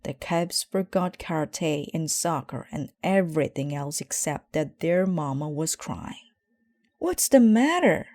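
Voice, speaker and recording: A woman reading a children's story aloud in a measured, expressive way. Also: low-pitched, dry recording. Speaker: storybook reader.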